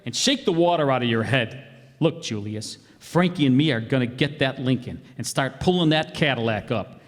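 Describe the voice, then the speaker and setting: A man's voice: medium pitch, lively intonation, moderate pace, close to an everyday voice. A man playing a cocky, bossy, bumbling schemer with a brash, exasperated manner in an old-time radio comedy. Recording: live hall stage, long reverb.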